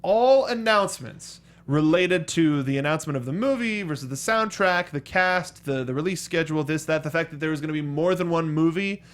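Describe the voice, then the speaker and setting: A man's voice: fairly high pitch, expressive, brisk, few pauses. A man chatting casually and enthusiastically as a geeky co-host of a movie review show. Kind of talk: casual fan discussion.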